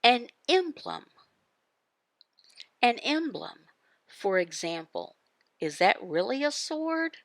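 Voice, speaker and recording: A woman giving a devotional Bible teaching in emphatic, halting phrases, asking questions and answering them. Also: fairly high pitch, sing-song, fairly bright tone, no echo. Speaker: voice interpreter for a signed devotional. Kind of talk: devotional Bible teaching, voiced from sign language.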